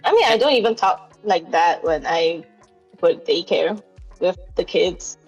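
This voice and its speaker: Young woman, halting casual chatter. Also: fairly low pitch, animated, slightly rough, muffled recording, soft background music. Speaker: podcast guest. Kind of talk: casual chat.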